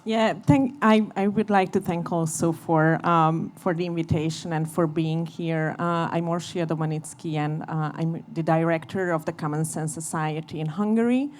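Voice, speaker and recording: A woman speaking as a panelist and society director, halting in a panel discussion. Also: low voice, fairly even intonation, a little mellow, slight background noise. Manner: halting, many fillers and restarts.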